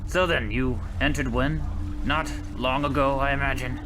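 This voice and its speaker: A man's voice: mid-range voice, fairly even intonation, noisy background, lightly acted. A man as a stern, secretive navy captain. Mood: probing. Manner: measured, probing, commanding. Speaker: ship captain. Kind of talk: radio drama acting. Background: music.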